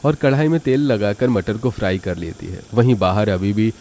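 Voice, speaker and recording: A man narrates a cartoon tale in scripted storytelling style. His voice sits low, with a dark, mellow tone. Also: normal speed.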